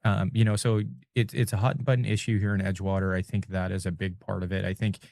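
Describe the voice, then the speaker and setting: Deep gravelly man in his forties. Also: level tone, fast talker, darkish tone, dry recording. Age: 40s. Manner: earnest, repeats words as he builds a point. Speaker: guest. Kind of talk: casual interview talk.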